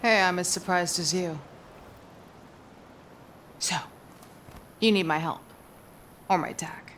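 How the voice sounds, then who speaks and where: Low-voiced, sardonic villainess. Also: female voice, takes it slowly, slight background noise. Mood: smug, sardonic. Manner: defiant, dry. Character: guarded, sardonic female tech villain and prisoner. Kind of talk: video game voice acting. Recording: loud music over the voice.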